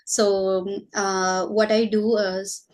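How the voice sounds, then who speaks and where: A woman from Kerala with an Indian English accent, a school teacher talking casually in a podcast, pausing often with fillers to gather her thoughts. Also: medium pitch, level tone, a little mellow.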